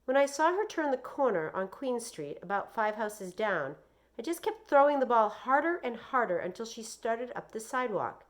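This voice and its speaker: A woman reading aloud like a teacher to children, expressive and deliberate in her delivery. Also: on the high side. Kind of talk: read-aloud with explanations and questions for children.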